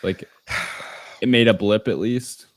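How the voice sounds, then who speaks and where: A man's voice: on the low side, slow, dry recording. A man on a podcast panel chatting casually, in a questioning, slightly tentative way. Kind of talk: casual chat.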